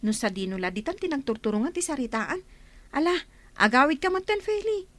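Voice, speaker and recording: A friendly young peacemaker from a village radio comedy, played by a woman. She chats expressively in a high, animated Ilocano-accented voice. The sound is close and dry, with faint music underneath. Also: fairly quick, no echo. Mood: chiding, concerned.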